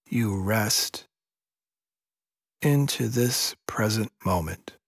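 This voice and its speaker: A man guiding a sleep meditation in a soft, soothing, measured voice with long pauses between phrases. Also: lowish voice, gravelly, dry recording, clean studio sound. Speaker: meditation guide. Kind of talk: guided yoga nidra sleep meditation.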